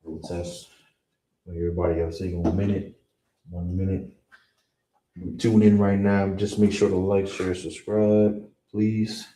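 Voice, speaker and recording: A man teaching a live-streamed online language class in a relaxed way, often checking in with "you understand?" and "all right?". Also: low-pitched, measured pace, pauses often. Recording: roomy, distant, faint hiss.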